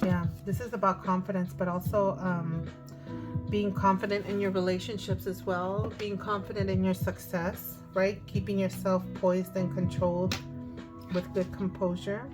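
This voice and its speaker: A woman with a hoarse voice giving a casual tarot card reading, thinking aloud as she goes. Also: medium pitch, level tone, normal speed. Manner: chatty, thinking aloud with fillers. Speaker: tarot reader. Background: music.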